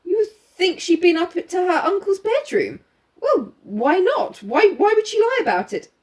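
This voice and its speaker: A woman's voice: very high-pitched, very animated, measured pace, voice pushed up, full character voice. A woman voicing an angry, dramatic male police inspector with a Scottish accent, indignant and emphatic, in a storybook mystery. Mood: incredulous.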